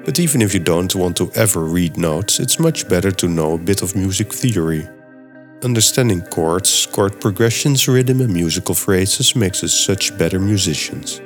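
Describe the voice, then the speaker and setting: Middle-aged podcast host with a Dutch accent and a very deep voice, steady and read-from-script, faint music underneath. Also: male voice, normal speed. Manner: steady, reads from a script, explains step by step. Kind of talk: scripted podcast narration and software tutorial.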